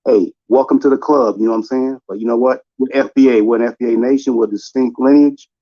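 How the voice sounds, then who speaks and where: An American man calling in, talking fast with a repeated conversational tag. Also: medium pitch, steady tone, muffled recording. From United States. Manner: fast, talky, repeats "you know what I'm saying". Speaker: caller.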